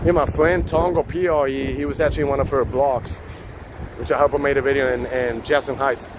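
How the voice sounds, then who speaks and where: A man's voice: mid-range voice, fairly even intonation, fairly quick. A man with a Puerto Rican accent hosting a casual live walking tour outdoors, chatting with viewers on a phone mic as he goes. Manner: chatty, reads out viewer names. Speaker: live-stream host. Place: outdoors on city streets. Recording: loud street traffic noise.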